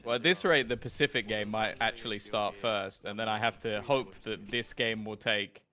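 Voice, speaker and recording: A man's voice: on the low side, moderate pace, bright, forceful tone, soft background music. A man from South Africa who hosts a watch-party stream, rambling and swearing freely in casual chat.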